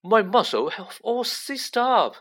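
Guy doing a tired grumbly schoolboy from a storybook, voice pushed up high, lively. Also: a little slow, exaggerated voice. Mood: weary, aggrieved. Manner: groaning, complaining. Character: weary, grumbling schoolboy, loyal friend. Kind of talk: audiobook character acting.

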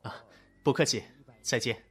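A soft-spoken young male designer with a gently teasing way of talking, as a man acts him out. He sounds easygoing and unhurried in this audio drama. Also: natural voice. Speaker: lead character.

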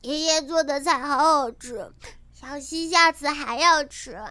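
A tiny girl's voice for an audio drama, extremely high and bright. The child is cute, polite and affectionate, speaking in simple, eager phrases. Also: unhurried. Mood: polite, caring. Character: sweet, polite little girl, eager and affectionate. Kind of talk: modern Chinese audio drama acting.

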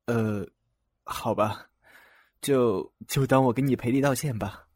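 A man's voice: mid-range voice, takes it slowly, darkish tone. A man acting a kind, easygoing young student in an audio drama, casual and a little bashful.

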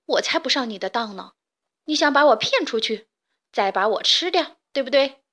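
A woman's voice: fairly high pitch, animated, normal speed, a bit bright. A woman voicing the eldest of three little pigs, a proud and boastful piglet. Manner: boastful, then panicked.

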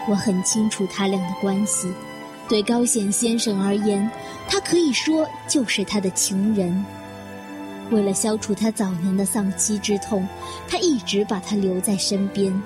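A woman's voice: medium pitch, moderate pace, lightly acted. A woman playing a bitter, self-deprecating career secretary in an audio drama, giving a brooding, wistful inner monologue. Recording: music behind the voice.

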